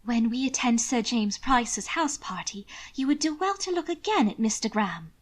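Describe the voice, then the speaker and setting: A woman voicing a soft-hearted, frank young lady in a period audiobook, earnest and cheerful. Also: on the high side, animated, normal speed. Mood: reproving. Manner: bright, earnest, reproving.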